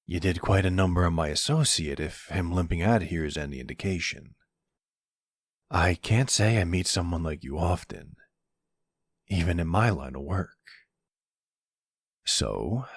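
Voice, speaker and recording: Man playing a gravelly, very low-voiced mafia boss. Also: animated, no echo, clean studio sound, heavily acted. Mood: calm, admiring, then flirtatious, amused.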